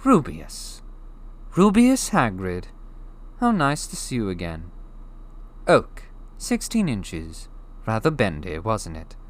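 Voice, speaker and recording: Eerie old wandmaker as a man reads him, soft-spoken, slow and deliberate, higher and louder than the narration. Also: huge pitch swings, heavily acted. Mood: musing. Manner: soft, deliberate, drawn-out delivery.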